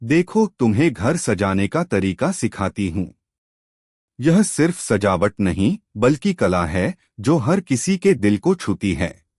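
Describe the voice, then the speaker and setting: A man voicing a wise, gentle, encouraging mother-in-law in a storybook tale, calm and instructive. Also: fairly low pitch, takes it slowly, husky, dry recording, clean studio sound, exaggerated voice.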